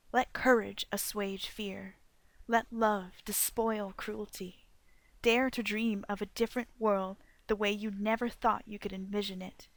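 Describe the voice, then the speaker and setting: A woman narrates a dramatic first-person audiobook as a defiant, passionate leader. Her voice sits at a middling pitch with a gravelly creak, recorded very dry. The delivery is solemn and deliberate, shaped like an oration. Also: no room sound. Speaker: author narrating own fan fiction audiobook.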